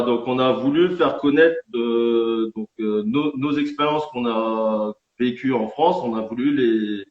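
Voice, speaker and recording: A man who is an independent champagne winegrower, guesting in a live-streamed interview and explaining things in an earnest, somewhat halting way. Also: medium pitch. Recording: echoey, dull lo-fi sound.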